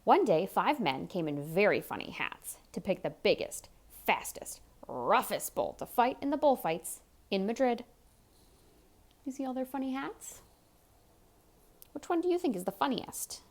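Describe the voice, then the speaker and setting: Animated woman reading a picture book aloud. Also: medium pitch, a little slow. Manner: expressive, chatty, asks the listener questions. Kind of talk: animated read-aloud storytelling for young children, with asides to the listener.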